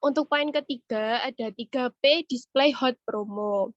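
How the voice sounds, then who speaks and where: High-voiced woman presenting, muffled call. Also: unhurried. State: has a cold and cough. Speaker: webinar presenter and retail trainer. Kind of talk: informal instructional presentation. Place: online video meeting. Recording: muffled, dull online-meeting audio.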